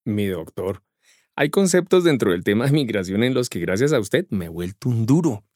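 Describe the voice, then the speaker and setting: A man's voice: on the low side, big pitch swings, normal speed, a little mellow, no room sound, studio quality. A man with a Colombian accent playing a curious, folksy neighbor who asks about migration rights in slangy everyday speech. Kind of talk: scripted radio drama dialogue.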